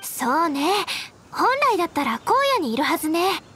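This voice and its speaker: A woman playing a spirited, dutiful young female knight scout in an anime game, brisk and earnest. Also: high voice, lively intonation, sharp tone, a little hiss or hum. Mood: serious. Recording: music under the dialogue.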